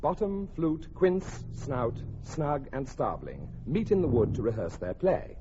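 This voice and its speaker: Narrator, man, swooping delivery, loud hiss behind, muffled. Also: mid-range voice, big pitch swings, unhurried. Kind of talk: scripted narration.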